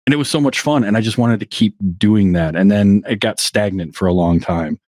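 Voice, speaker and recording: A low-pitched middle-aged man chatting as a podcast guest in a dry, close recording. He wanders through his memories, restarting and circling back as he talks. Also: a little mellow, dry recording. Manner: reflective, rambling with restarts. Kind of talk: casual conversation.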